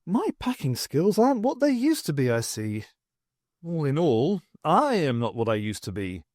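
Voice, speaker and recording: A man's voice: on the high side, huge pitch swings, normal speed, darkish tone, no room sound, clean studio sound. A man voicing a wry, sceptical and bemused woman adventurer in an audiobook, with a questioning manner.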